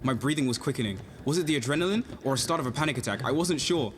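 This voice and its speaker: A man's voice: mid-range voice, expressive, racing, noisy background, close to an everyday voice. An actor playing an anxious, earnest young amateur detective in an audio drama, flustered and precisely worded as he acts and narrates his story. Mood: panicked. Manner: flustered, stammering, protesting, precise wording. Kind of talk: audio drama acting with first-person narration.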